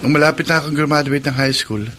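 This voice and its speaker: A man's voice: fairly high pitch, fast talker, bright, forceful tone, a little hiss or hum. An actor playing a sensitive, polite young man grieving his sister, in a Filipino radio drama with a Filipino accent. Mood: wistful, sorrowful. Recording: music bed behind the voice.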